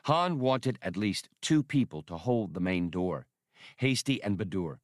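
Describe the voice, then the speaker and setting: Male narrator for adventure fiction. Lowish voice, animated range, very dry recording. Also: a little slow, no room sound. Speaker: audiobook narrator. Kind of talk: scripted narration of a science fiction adventure novel.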